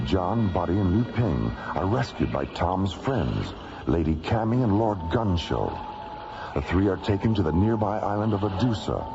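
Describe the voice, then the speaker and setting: Deep male narrator, gravelly, dramatic scripted delivery, muffled radio recording, loud music. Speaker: narrator and announcer.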